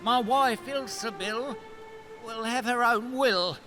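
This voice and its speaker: Man as a high-pitched, meek fisherman husband. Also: slow, music in the background. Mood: pleading chant. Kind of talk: full cast audio fairy tale drama.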